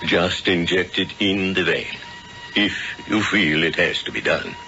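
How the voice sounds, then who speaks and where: An actor playing a sinister, calmly obedient coven accomplice in a 1970s radio drama, speaking gravely and deliberately. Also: male voice, low-pitched, a little hiss or hum, exaggerated voice. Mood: grave, compliant. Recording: muffled old radio recording, music behind.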